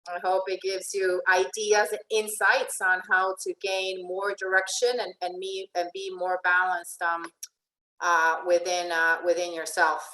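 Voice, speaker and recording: A woman's voice: on the low side, monotone, unhurried, sharp tone. A woman who grew up in Venezuela, a life and relationship coach giving an informal online presentation. She talks in a chatty way, often restarting her sentences and checking in with "right?". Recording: echoey room.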